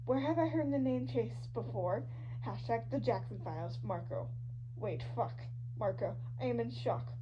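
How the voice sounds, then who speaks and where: A woman giving an animated, dramatic, slangy reading of online fan fiction, shifting between characters. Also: a little high, normal speed. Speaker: podfic reader. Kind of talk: expressive fan fiction reading of social media posts. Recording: dull lo-fi audio with loud hum. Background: loud hum and noise.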